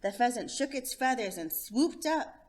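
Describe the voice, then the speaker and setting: Animated woman reading a storybook. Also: fairly high pitch, moderate pace. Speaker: storytime host and reader. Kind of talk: expressive children's storybook narration.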